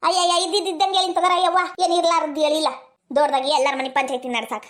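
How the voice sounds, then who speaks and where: A woman's voice: high-pitched, flat delivery, quick pace, a bit bright. A woman playing a spiteful, scheming older mother-in-law in a family drama skit, taunting and melodramatic, with a North Karnataka accent.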